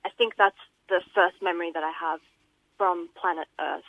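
A woman's voice: on the low side, fairly even intonation, moderate pace, no echo, phone-quality sound. A young woman from Iran, a radio guest who talks in a chatty, slightly nervous way. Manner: chatty, giggly, a bit nervous. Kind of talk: casual radio interview.

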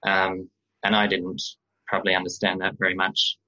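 A young man from Australia, a guest on a radio interview, telling his story slowly with frequent ums and restarts. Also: low voice, monotone, pushed and bright, highs cut off. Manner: hesitant, many ums and restarts.

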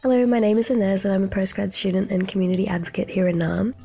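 Flat gravelly young woman. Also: mid-range voice, fast talker, soft background music. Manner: reflective, earnest. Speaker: postgrad student and community advocate. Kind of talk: recorded voice note.

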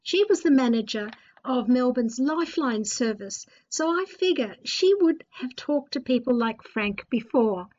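A woman radio presenter with a high-pitched voice that is noticeably husky. She narrates and introduces in a scripted style, with a slightly muffled sound. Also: animated, a little slow, few pauses, a little mellow. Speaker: radio host and story narrator.

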